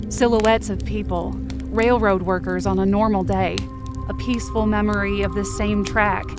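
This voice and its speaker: A woman's voice: medium pitch, fairly even intonation, moderate pace. A woman narrates a dramatic first-person story in an earnest, suspenseful way. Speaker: narrator of a fiction podcast, in character. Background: music under the voice.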